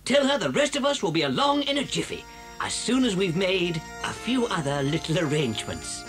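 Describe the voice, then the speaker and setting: A man playing a clever, boastful, commanding father fox in an animated, theatrical dramatised children's storybook tape. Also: high voice, moderate pace, faint music behind. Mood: confident, brisk.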